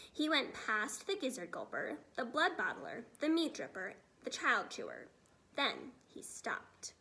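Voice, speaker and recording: Female storybook reader, teacherly read-aloud narration, high voice with lively swings in pitch. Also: slight background noise.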